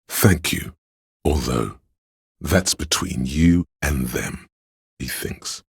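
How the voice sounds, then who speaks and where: An all-knowing inner voice of police instinct in a literary video game. It is extremely low and very husky, speaking with grave deliberation and dry irony. The sound is close and dry, with no room around it. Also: male voice, no echo, full character voice. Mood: grave, measured. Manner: measured, deliberate, dryly ironic. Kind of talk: second-person literary game narration.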